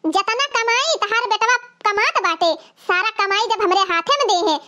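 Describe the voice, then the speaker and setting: A performer voicing quarrelsome village women in an Awadhi cartoon comedy, shrill, childlike and melodramatic, with an Awadhi accent. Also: normal speed, bright, forceful tone. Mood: indignant. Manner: scolding, pleading, melodramatic. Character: squabbling women of a village family, shrill and dramatic.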